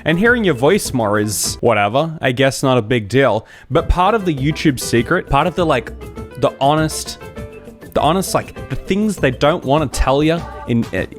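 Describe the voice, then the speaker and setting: A man's voice: medium pitch, lively intonation, normal speed, soft background music. A man from Australia with an Australian accent, chatting casually as a streamer in a rambling, jokey way. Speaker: YouTuber and streamer. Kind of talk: casual livestream chat.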